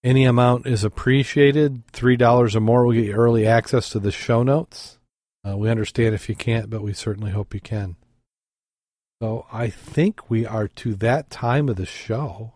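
A man's voice: medium pitch, steady tone, normal speed, a little mellow, dry recording, clean studio sound. A man co-hosting a casual podcast, chuckling and reading a joke aloud. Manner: easygoing, chuckles, self-doubting. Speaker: podcast co-host.

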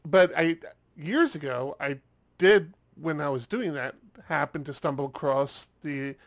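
A man's voice: on the high side, unhurried. Man with a stuffy, congested voice. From United States. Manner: rambling, repeats words on restarts. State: congested, getting over a cold. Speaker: podcast co-host. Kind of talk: casual chat.

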